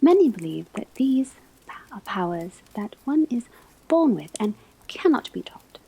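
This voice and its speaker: Woman acting as witch academy professor, very breathy half-whisper, slow measured lecturing, patient, gently stern, ASMR roleplay. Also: on the high side, sing-song, exaggerated voice, low-quality recording. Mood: instructive, measured. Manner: measured, deliberate, formal lecturing.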